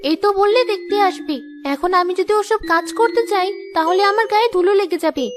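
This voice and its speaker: Very high-pitched young cartoon woman. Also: a little fast. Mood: vain, scornful. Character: young Bengali sisters, one vain, one dutiful. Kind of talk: cartoon story voice acting. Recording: music behind the voice.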